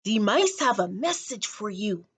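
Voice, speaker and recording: An eccentric old man from a children's storybook, acted out by a woman reader. He speaks slowly and haltingly, with secretive emphasis and big swings in pitch. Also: big pitch swings, slightly rough. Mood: secretive, ominous. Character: crazy old man upstairs, mysterious mustached mouse-keeper.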